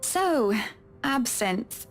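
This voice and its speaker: A poised young-sounding wife in a visual novel, with a high voice. She is measured and sugary, placating yet gently reproachful, with faint music underneath. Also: sing-song, heavily acted.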